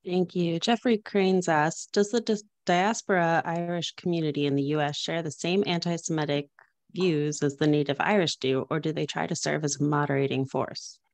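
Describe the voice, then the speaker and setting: Woman, low voice, dry sound, polite moderator reading questions. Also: steady tone, moderate pace, no echo.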